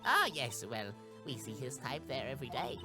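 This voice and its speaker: A man's voice: mid-range voice, very animated, normal speed, over music, natural voice. A man voicing a cranky, elderly female security guard from a game, muttering dismissively about youngsters. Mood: cranky, defensive.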